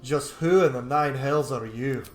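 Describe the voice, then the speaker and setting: A man doing casual, excited gameplay commentary, cheeky and slangy, gushing as he goes. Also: mid-range voice, a little slow, echoey room, soft background music. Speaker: gaming video host.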